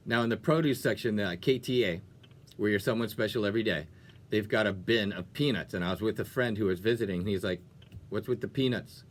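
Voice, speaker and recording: A male radio host with an upbeat, animated delivery. He sits at a medium pitch and chats in a folksy, playful way, like a DJ talking between songs. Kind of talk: upbeat music radio presenting, chatty talk breaks.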